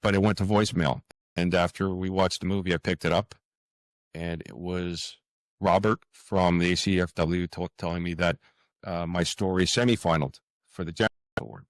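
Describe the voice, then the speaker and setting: A man's voice: sits low, steady tone, moderate pace, no room sound. A man speaking reflectively as an interview guest, earnest and hesitant, often repeating words and phrases. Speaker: author, podcast guest.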